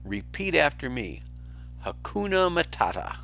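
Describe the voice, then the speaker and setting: Storybook meerkat sidekick, played by a male reader: bossy, excitable, pitched high with big swoops. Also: unhurried, vocal fry, faint music behind, heavily acted. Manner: bossy, exclamatory.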